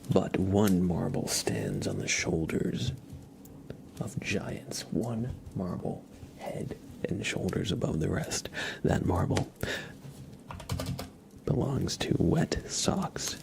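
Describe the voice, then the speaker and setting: Male ASMR streamer, low gravelly register, whispered delivery, announces games with mock drama. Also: huge pitch swings, takes it slowly, noisy background. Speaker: live stream host. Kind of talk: ASMR live stream commentary, mostly whispered.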